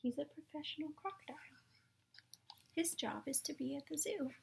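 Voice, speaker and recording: A young adult woman reading picture books to children at story time in a soft, hushed, breathy manner. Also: high voice, expressive, fairly quick, a little hiss or hum. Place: small room at home, indoors.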